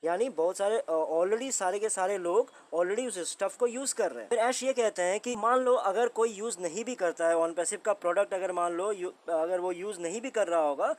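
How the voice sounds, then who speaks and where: A man with an Indian accent giving informal explainer commentary, conversational, often repeating his points and putting rhetorical questions to the listener. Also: sits high. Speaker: host of a commentary channel on a company's updates.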